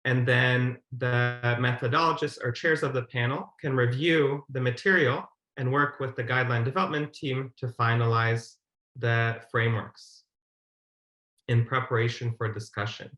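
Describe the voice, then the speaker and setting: A man's voice: medium pitch, fairly even intonation, moderate pace, a bit bright. A man giving a formal, methodical explanatory presentation as a webinar presenter over a video call. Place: indoor room, on a video call. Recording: roomy, echoey webinar audio.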